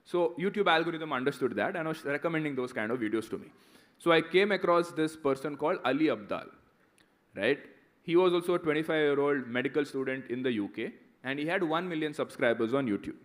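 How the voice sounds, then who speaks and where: A man's voice: a little high, lively intonation, a little fast. A man around thirty, a guest speaker answering student questions in a conversational way, often tagging "right?". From India. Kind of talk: motivational talk and Q&A.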